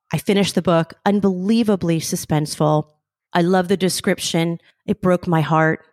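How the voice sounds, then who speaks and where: A woman's voice: fairly low pitch, darkish tone, dry recording, studio quality. A woman hosting an interview podcast, asking enthusiastic, complimentary questions. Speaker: podcast host.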